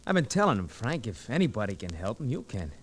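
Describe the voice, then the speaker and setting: An actor playing a pushy but loyal cab driver sidekick, brash and slangy, in 1940s radio drama style. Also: male voice, medium pitch, sing-song, quick pace, natural voice. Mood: earnest, urging. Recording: slightly noisy vintage radio recording.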